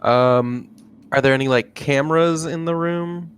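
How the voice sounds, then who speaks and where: A man's voice: fairly high pitch, unhurried, smooth. A man chatting casually as a player in a tabletop roleplaying game.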